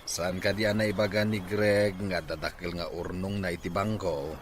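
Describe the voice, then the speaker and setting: A man's voice: low-pitched, steady tone, normal speed, background noise, close to an everyday voice. A man acting a plain-spoken farming father in an Ilocano drama, with an Ilocano accent. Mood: matter-of-fact. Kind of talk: amateur Ilocano radio-style drama acting.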